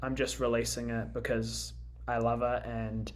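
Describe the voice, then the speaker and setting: A young man from New Zealand with a Kiwi accent, chatting casually as a musician guest on a radio interview, pausing often with ums and restarts. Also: mid-range voice, flat delivery. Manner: hesitant, many ums and restarts.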